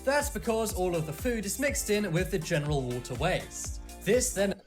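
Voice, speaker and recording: Lively listicle narrator over faint music. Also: medium pitch, normal speed. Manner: explanatory, steady listicle delivery. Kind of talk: scripted narration.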